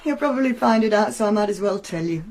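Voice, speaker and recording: A woman's voice: mid-range voice, lively intonation, fast, a little mellow, slight background noise, close to an everyday voice. An actress playing a defensive, bitter mother being questioned by the police. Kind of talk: radio drama acting.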